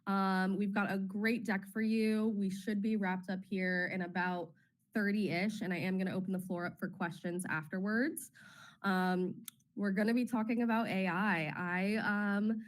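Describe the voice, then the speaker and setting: A woman in her thirties hosting a webinar in a bubbly, chatty way, her voice slightly raspy as she gets over a cold. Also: mid-range voice. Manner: bubbly, chatty, many ums. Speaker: webinar host, marketing officer. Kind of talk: casual presenting on a webinar.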